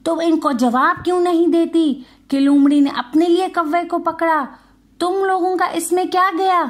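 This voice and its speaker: Shrewd male storybook crow played by a woman: high voice, bossy, scolding, know-it-all. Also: steady tone. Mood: scheming.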